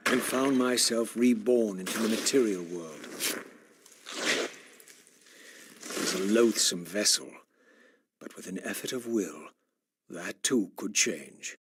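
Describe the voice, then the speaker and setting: An actor playing a bitter, brooding undead wraith, narrating a video game in a grave, formal, theatrical manner. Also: male voice, lowish voice, noisy background, lightly acted. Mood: brooding, resolute. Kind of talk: scripted dramatic game narration.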